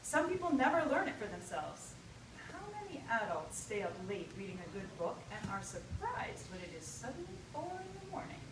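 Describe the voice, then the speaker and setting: A woman reading a humorous personal essay to an audience, theatrical and emphatic, with deliberate pauses. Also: on the high side, big pitch swings. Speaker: writer reading own essay. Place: live reading at an event. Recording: echoey, distant live recording with loud noise.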